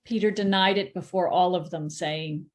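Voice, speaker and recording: A woman's voice: on the low side, steady tone, normal speed. A woman narrating a scripture passage in a church drama, reading expressively.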